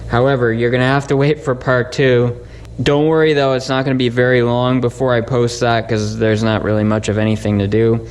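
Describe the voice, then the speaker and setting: A man's voice: mid-range voice, brisk, clean tone, rough recording. A man hosting a DIY electronics video, explaining his project casually and informally, as if thinking aloud. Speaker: host of a DIY electronics channel. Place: indoor electronics workbench. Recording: faint steady background noise.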